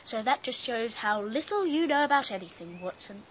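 A woman's voice: fairly high pitch, animated, normal speed, a bit bright, slight background noise. Bossy, know-it-all British girl detective. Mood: smug, superior. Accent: British English. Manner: bossy, superior. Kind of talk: radio drama acting.

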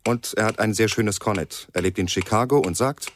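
Ein Schauspieler spricht in einem Hörspiel einen nüchternen Chronisten mit schlichten, knappen Sätzen. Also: male voice, on the low side, fairly quick, slight background noise, natural voice. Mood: factual. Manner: plain, terse statements. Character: matter-of-fact second male chronicler. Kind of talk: scripted radio drama narration.

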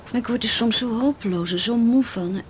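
A slightly noisy radio play recording carries a frightened, guarded teenage girl acted by a woman. Her voice sits on the high side and she speaks haltingly, as if unsure of each step. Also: natural voice. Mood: weary, hopeless. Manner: hesitant, faltering. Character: frightened, wary abused teenage girl confiding in a friend. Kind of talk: naturalistic radio drama acting.

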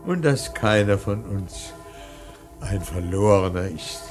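An actor playing an anguished, solemn old painter mourning his son in a classic radio play, declaiming haltingly with repeated words and a husky voice. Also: lowish voice, expressive, loud music behind the voice. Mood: exalted, mournful. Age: older.

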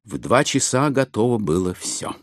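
A man narrating classic literature as a dramatized reading, theatrical and declamatory, with long pauses. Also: on the low side, big pitch swings, no room sound, clean studio sound, faint music behind.

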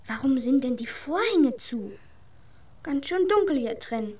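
A woman's voice: high-pitched, big pitch swings, unhurried, background noise. A woman acting a young girl in a German radio drama, a bossy, protective big sister. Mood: matter-of-fact, caring.

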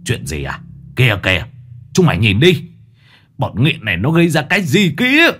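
A man's voice: fairly low pitch, wildly swooping pitch, normal speed, fairly bright tone, breathy edge, heavily acted. Man voicing snarling older boat boss. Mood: menacing. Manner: snarling, threatening, cursing.

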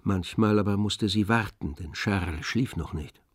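A man playing the composed, observant narrator of a literary radio drama, with measured delivery. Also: fairly low pitch, no room sound, clean studio sound, close to an everyday voice.

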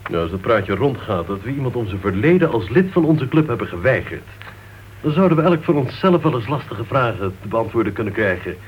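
A man's voice: medium pitch, expressive, fairly quick, close to an everyday voice. An actor playing a wry, argumentative man who writes crime novels, teasing and ironic, in a Dutch radio play. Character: wry, argumentative male crime writer, tolerant club member. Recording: dull, noisy old radio recording.

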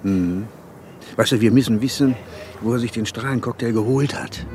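A man's voice: mid-range voice, moderate pace, darkish tone, soft background music, natural voice. A man acting a colloquial, prodding police inspector in a German radio drama. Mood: concerned, probing. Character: harried male police inspector, bossy yet concerned. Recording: faint background noise and ambience.

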